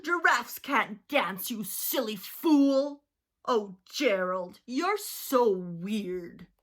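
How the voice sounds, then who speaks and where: A brash crowd of jungle animals, put on by a woman reading aloud, calling out loud and high. The lines come big and exaggerated, in a lively storybook manner. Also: extremely slow, heavily acted. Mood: mocking.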